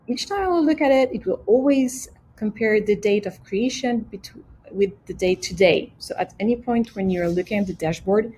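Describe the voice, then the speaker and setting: A woman presenting a casual software tutorial, explaining each step simply and speaking directly to the viewer. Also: mid-range voice, slight background noise, poor audio. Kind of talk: casual screen-share tutorial.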